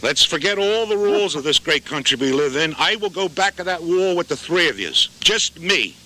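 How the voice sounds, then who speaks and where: A belligerent man with a high voice that jumps up and down as he challenges and insults in an angry face-to-face confrontation. It is a noisy outdoor clip with vehicle sound behind him. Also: expressive, normal speed. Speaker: mayor, former police officer.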